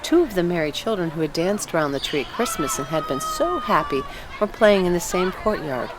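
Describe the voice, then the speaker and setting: A woman narrating a scripted storybook tale in an expressive, deliberate storytelling manner. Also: fairly low pitch, poor audio. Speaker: audiobook narrator.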